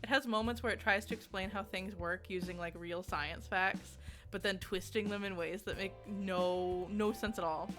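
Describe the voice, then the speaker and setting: Bright-voiced woman, casual game reviewer over music. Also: mid-range voice, steady tone, normal speed. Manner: giggly, often laughing as she talks.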